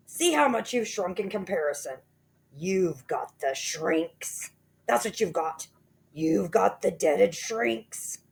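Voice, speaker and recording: A woman's voice: on the low side, expressive, normal speed, gravelly, a little mellow. A woman voicing a nasty old man who sneers and taunts his wife. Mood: gloating. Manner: sneering, teasing.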